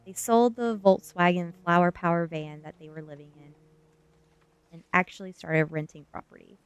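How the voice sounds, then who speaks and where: A woman streamer in a soft ASMR style, chatting and reading a story aloud in a gentle, unhurried manner that is hushed at times. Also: deep voice. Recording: music behind the voice. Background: background music.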